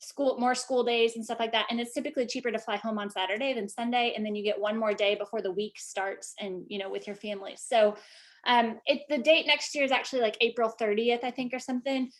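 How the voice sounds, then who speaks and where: A woman's voice: a little high, steady tone, fast. Woman team leader on a video call; rambling, casual, lots of fillers.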